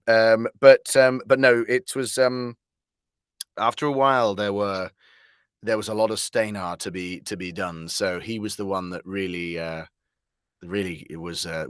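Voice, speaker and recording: A man's voice: deep voice, fairly even intonation, moderate pace, dry recording, clean studio sound. A man speaking casually as an interview guest, hesitant, trailing off and self-deprecating. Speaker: voice actor guest.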